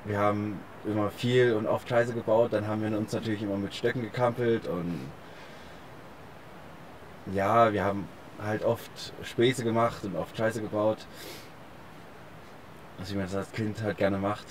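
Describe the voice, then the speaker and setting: A young man with a fairly low voice chats as an interview guest. He speaks colloquially, and there is faint outdoor noise behind him. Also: steady tone, normal speed, long pauses, poor audio. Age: young adult. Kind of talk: casual reminiscing chat. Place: outdoors on a park bench by a forest.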